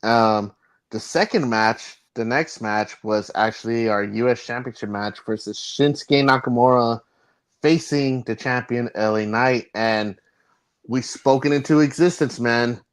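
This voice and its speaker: A man co-hosting a wrestling podcast, chatting casually, laughing often and swearing when excited. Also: medium pitch, unhurried. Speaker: podcast co-host.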